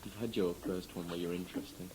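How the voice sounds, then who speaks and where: A man's voice: low-pitched, fairly even intonation, quick pace, very noisy. A man interviewing casually, with a teasing, bantering manner.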